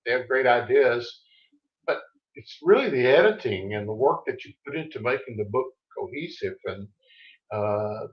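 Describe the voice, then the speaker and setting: A man speaks with a Texas accent, easy and unhurried as he tells about his book. He circles back and restarts his thoughts as he goes. His voice sits in a roomy space and comes through a dull, lo-fi video call. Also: mid-range voice, animated. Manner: easygoing, rambling with restarts. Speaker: author guest. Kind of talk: casual interview chat.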